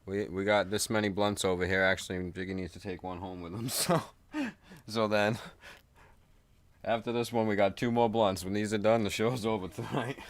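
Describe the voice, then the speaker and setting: A man's voice: on the low side, steady tone, normal speed. A man co-hosting a live show, talking in an offhand, laid-back way with plenty of swearing. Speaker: podcast co-host. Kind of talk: casual live-stream chat.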